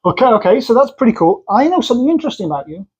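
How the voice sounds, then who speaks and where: A man's voice: very high-pitched, sing-song, rapid-fire, darkish tone, slightly rough, muffled recording. A middle-aged man, hosting a podcast interview and teasing his guest playfully. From Wales. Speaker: podcast host. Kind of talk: casual interview chat.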